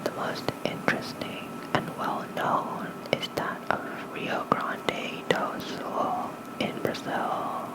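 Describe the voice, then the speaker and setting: An ASMR host gently whispering mythology lore like a bedtime reading, close to a fluffy-covered microphone. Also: poor audio.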